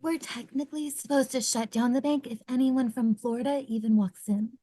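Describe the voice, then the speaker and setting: A woman's voice: fairly high pitch, fairly even intonation, a little fast, mellow, lightly acted. A woman playing a reluctant, by-the-book bank clerk in a comedy table read, formal and hesitant. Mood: disapproving.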